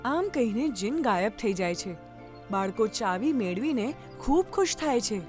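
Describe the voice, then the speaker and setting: A woman's voice: fairly high pitch, animated, a little slow, faint music behind. A woman narrating a scripted children's story as the storyteller.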